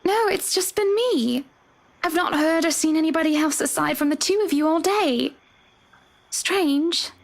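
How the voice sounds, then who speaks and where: A man voicing an affectionate, composed, faintly eerie wife in a horror visual novel, gentle and formal in manner. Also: very high-pitched, measured pace, creaky, voice pushed up, full character voice. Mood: puzzled.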